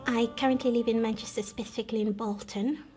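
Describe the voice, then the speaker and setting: A young woman from Congo tells her personal story in an earnest, halting way, often stopping to restart her sentences. Her voice sits a little high, yet it stays level and rarely rises or falls. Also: steady tone, dark tone, soft background music. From Democratic Republic of Congo. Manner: earnest, measured, with frequent pauses and restarts. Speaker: guest telling her conversion story. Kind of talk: personal testimony, recalled storytelling.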